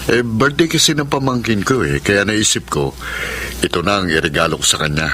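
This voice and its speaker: A low, forceful man's voice plays a generous, kindly friend. His manner is easygoing and reassuring, in radio drama style. There is faint noise in the recording. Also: animated, brisk. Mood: friendly, reassuring. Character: kindly, generous male friend and loyal customer. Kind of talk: Filipino radio drama acting.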